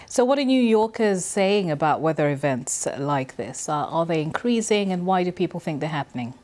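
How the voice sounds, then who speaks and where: A woman news anchor with a fairly low voice reads the headlines and leads a live interview. Her delivery is polished and measured, the steady voice of a broadcast presenter.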